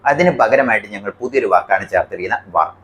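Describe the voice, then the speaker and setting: Malayali guy doing news commentary, talks really fast, kinda low voice, some background noise. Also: expressive, fairly bright tone. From India. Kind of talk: explanatory news commentary to viewers.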